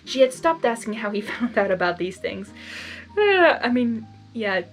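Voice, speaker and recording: A woman reads a story aloud in a fairly high, lively voice with wide swings in intonation. She is chatty and excitable, slipping into casual, giggly commentary. Faint music plays behind her. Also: normal speed, low-quality recording. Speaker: story reader. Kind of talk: expressive blind reading with casual asides.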